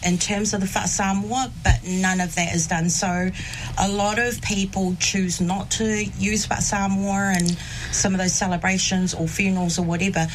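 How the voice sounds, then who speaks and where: A woman from New Zealand, a radio guest who talks in a relaxed way with many fillers like "you know". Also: medium pitch, normal speed, slight background noise, rough recording. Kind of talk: casual radio interview.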